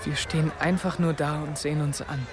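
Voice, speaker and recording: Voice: medium pitch, fairly even intonation, a little fast, close to an everyday voice. A performer playing an awkward, lovestruck teenage narrator, self-mocking, in a first-person radio drama. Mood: smitten. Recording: music under the voice. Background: prominent music.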